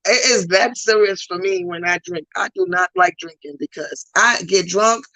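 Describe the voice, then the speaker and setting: A woman's voice: lowish voice, moderate pace, bright, forceful tone, dry recording, rough recording. A woman comedian from Trinidad with a thick Trinidadian accent tinged with New York, chatting bluntly and emphatically on a podcast. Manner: blunt, emphatic, repeats points. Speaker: comedian guest. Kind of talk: casual podcast chat.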